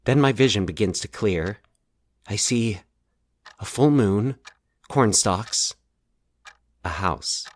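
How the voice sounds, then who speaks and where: A man acting a troubled, anxious man in a fiction podcast, confiding his nightmares haltingly and with dramatic pauses. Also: on the low side, animated. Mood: haunted, halting. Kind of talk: dramatic audio fiction monologue.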